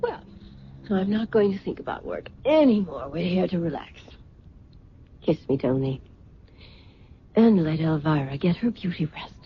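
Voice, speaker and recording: Vain, grand fashion-queen mother: low-pitched, theatrical, condescending, very animated; muffled vintage radio sound. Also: measured pace, long pauses. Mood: languid, self-satisfied. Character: vain, imperious middle-aged fashion designer mother. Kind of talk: old-time radio drama acting.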